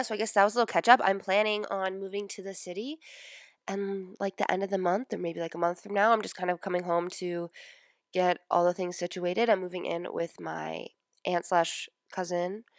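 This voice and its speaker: A woman's voice: fairly low pitch, gravelly, dry recording, studio quality. A young woman in her twenties with a stuffy nose, hosting her own podcast in a casual, chatty way, full of "like" and "um". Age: 20s. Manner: chatty, lots of "like" and "um". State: stuffy nose from a sinus infection. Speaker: podcast host. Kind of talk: casual personal podcast chat.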